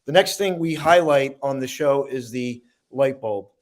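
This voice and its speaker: A man's voice: medium pitch, measured pace. Guy co-hosting an uplifting talk show, regular mid-range voice.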